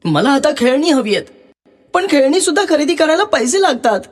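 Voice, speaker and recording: A man's voice: very high-pitched, big pitch swings, a little fast, slight background noise, voice pushed up. A man voicing a sulky growing boy in a children's story, with a whiny delivery. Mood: sulky, dismissive. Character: sulky grown-up boy wanting toys. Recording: music behind the voice.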